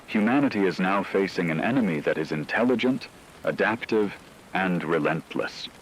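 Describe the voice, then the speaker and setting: A man acting as a radio news anchor, delivering scripted breaking news in a grave, formal, measured manner. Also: sits low, expressive, a little hiss or hum, low-quality recording. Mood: grave, urgent. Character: grave male radio newsman reporting an apocalypse.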